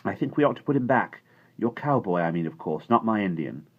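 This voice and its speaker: A man's voice: on the low side, expressive, fairly quick, voice pushed up. A man voicing an earnest, caring and stubborn young boy. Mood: firm. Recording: dull lo-fi recording.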